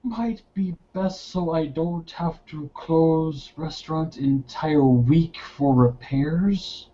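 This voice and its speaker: A man's voice: a little high, slow pace, mellow, dull audio. A man playing a fretful restaurant keeper in a tabletop game, speaking in clipped phrases with dropped words. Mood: worried. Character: fretful restaurant keeper worried about damage and repairs.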